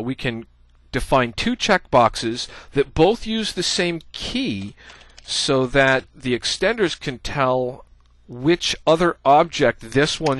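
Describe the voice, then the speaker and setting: A man's voice: mid-range voice, fairly bright tone, no echo. A man giving a casual screencast coding tutorial, thinking aloud as he types, with many "uh" fillers. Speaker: programming instructor.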